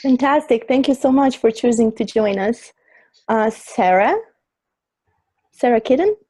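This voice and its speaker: A woman hosts a webinar over a video call, taking guests in turn and apologizing when she stumbles on a name. Her voice sits slightly high with a mellow, soft tone. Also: a little slow. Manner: polite, apologetic about names. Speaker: webinar host.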